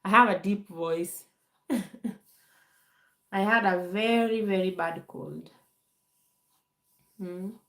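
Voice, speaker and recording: A woman from Kenya hosting a casual live Q&A, calm and unhurried, laughing often, still getting over a bad cold. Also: mid-range voice, gravelly, echoey room. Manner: calm, slow, laughs often, many fillers. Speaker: livestream host. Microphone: phone held to camera.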